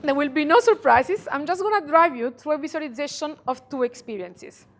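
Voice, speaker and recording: A woman from Italy with an Italian accent, giving a conference talk and guiding the audience through a calm visualization. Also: sits high. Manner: calm, slow guiding voice, speaks to listeners directly. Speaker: conference speaker. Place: conference room.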